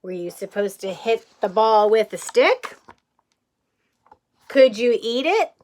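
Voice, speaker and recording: Female kindergarten teacher for picture-book read-aloud: higher voice, unhurried pace, expressive, simple explanations and questions to the listeners. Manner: expressive storytelling, often chuckling.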